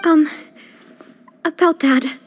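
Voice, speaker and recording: A woman's voice: high voice, steady tone, slow, slight background noise. A woman playing a poised, kindly young woman who leads her group in a video game, speaking politely and earnestly. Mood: sombre.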